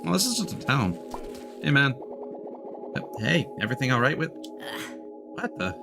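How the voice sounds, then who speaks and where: A man's voice: medium pitch, very animated, moderate pace, vocal fry. A man streaming a video game with casual, spoken-as-he-plays commentary. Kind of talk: casual live gameplay commentary. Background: game music.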